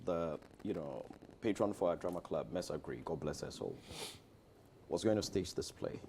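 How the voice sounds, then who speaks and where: Ghanaian guy guest, low-ish voice, teasing, telling stories, bit of noise behind. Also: lively intonation, moderate pace, darkish tone. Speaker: theatre director, interview guest. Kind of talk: casual interview chat, storytelling.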